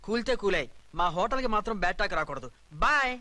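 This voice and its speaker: A man's voice: high voice, very animated, fast talker, sharp tone, full character voice. An actor playing a stubbornly polite male hotel receptionist in a film comedy, formal and clipped. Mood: officious. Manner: formal, clipped, mixes in English service phrases.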